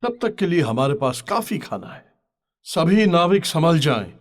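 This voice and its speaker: A man's voice: a little high, big pitch swings, unhurried. A man playing a submissive ship's captain in a dramatised audio story, with a theatrical delivery. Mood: informative. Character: male ship's captain, defeated and submissive seafarer.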